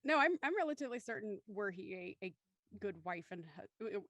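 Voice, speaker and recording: A woman's voice: mid-range voice, lively intonation, fairly quick, fairly bright tone, no echo. A young woman leading a casual group discussion in a chatty, playfully argumentative way. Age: young adult. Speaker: host and cast member leading the discussion.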